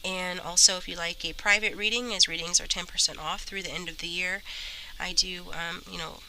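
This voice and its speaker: A woman with a stuffy, slightly rough voice from a cold, chatting casually as she gives a tarot reading. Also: on the low side, fairly even intonation, brisk, pushed and bright, a little hiss or hum, poor audio. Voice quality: a little rough. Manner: chatty, many fillers and restarts. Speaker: tarot reader.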